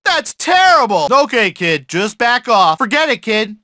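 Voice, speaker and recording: A man's voice: sits high, big pitch swings, a little slow, pushed and bright, no room sound, studio quality, full character voice. A man playing an irritable, self-important, easily flustered small-town mailman in a video game, whiny and indignant with folksy slang. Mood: mocking, annoyed. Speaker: video game character voice actor. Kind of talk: exaggerated cartoonish video game acting.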